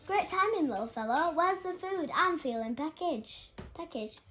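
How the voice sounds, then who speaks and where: Woman voicing a high, bumbling storybook bear. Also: expressive, moderate pace, fairly bright tone. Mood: jovial. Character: big, jolly, silly male bear who loves parties. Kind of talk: storybook character voice. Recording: clearly noisy background.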